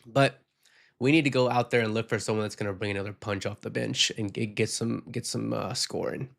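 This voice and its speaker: A man's voice: lowish voice, steady tone, a little fast, vocal fry, fairly bright tone. A man hosting a casual postgame podcast, reading viewer comments and giving frank opinions, sick and losing his voice. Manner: frank, rambling, reads viewer comments aloud. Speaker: podcast host.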